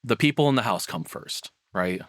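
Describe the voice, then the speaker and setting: A man's voice: on the low side, animated, moderate pace, fairly bright tone, dry recording, clean studio sound. A man giving unscripted reaction commentary as a streamer, rambling and questioning himself in casual language.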